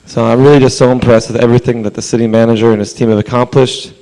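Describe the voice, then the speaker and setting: A man with a hoarse voice, a rabbi giving an earnest, conversational public comment at a city council meeting. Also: medium pitch, little pitch movement, very fast, mellow, rough recording. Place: council chamber.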